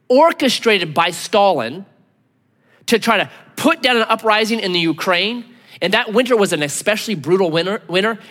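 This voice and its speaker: Guy pastor giving a sermon, earnest, voice jumps all over, long echo like a church. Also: a bit bright. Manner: earnest, emphatic, drops to low intense tones. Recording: long hall reverb.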